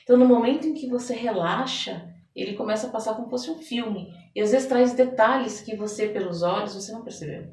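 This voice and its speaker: A woman's voice: on the high side, a little fast, few pauses, darkish tone. A Brazilian woman speaking as an interviewed guest, explaining things conversationally and repeating phrases for emphasis. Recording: roomy echo, distant microphone.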